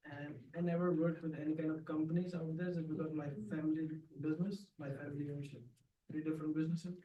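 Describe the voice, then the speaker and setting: A man's voice: a little high, level tone, quick pace, highs cut off. A man, a graduate student casually introducing himself to a class. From India. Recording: roomy, distant, faintly noisy room.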